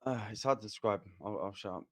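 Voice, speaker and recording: A man from talks hesitantly in a voice chat. His voice is fairly low and his sentences trail off into quiet. The sound is dry and close. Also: fast, no room sound. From London, England. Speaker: voice chat participant. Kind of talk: casual chat.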